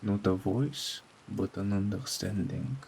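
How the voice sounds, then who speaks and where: A man narrating a scripted horror story in a solemn, dramatic way with deliberate phrasing. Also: on the low side, creaky, darkish tone, slight background noise. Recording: faint rain behind voice.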